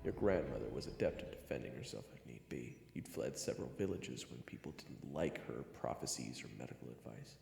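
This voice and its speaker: A man with a gravelly voice reading scripted second-person fantasy story narration. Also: extremely low pitch, expressive, fairly quick, few pauses, darkish tone, reverberant, in a large hall, faint music behind.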